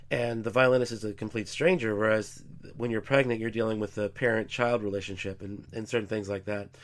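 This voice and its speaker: Congested man, podcast host, measured explainer, mid-pitched, some filler words. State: congested from a cold. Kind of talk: scripted-sounding podcast commentary.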